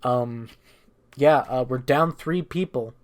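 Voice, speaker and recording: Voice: mid-range voice, slow pace. A speaker giving a casual, improvised story recap as a gaming streamer, rambling and irreverent with plenty of swearing.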